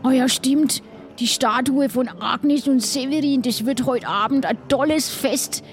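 A woman with a high voice chats in character during an improvised audio adventure. Her delivery is casual, and faint music plays behind her. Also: a little slow. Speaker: player in an improvised audio play. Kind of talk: casual improvised role-play.

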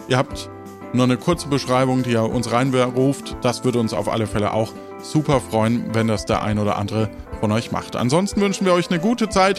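A man hosting an improvised audio adventure podcast as game master, talking casually to players and listeners. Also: mid-range voice. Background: light music with guitar.

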